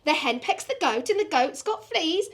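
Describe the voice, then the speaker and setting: A woman's voice: very high-pitched, lively intonation, moderate pace, a bit bright, exaggerated voice. A woman voicing a flustered, grumbling little old lady in a storybook, exclaiming and pleading dramatically. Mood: distressed.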